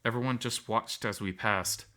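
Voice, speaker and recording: A man's voice: fairly low pitch, steady tone, normal speed, sharp tone. A man reading scripted first-person story narration as the narrator.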